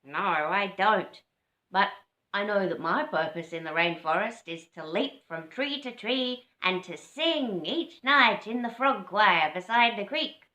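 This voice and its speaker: Woman as a croaky, chirpy tree frog, slow and lilting, storybook, echoey room. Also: mid-range voice, expressive, pushed and bright. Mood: friendly.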